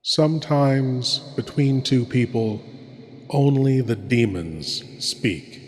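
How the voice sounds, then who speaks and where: A man playing a sinister, theatrical horror-show host, delivering an ominous, deliberate monologue. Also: mid-range voice, close to an everyday voice. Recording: long reverb over music. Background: music.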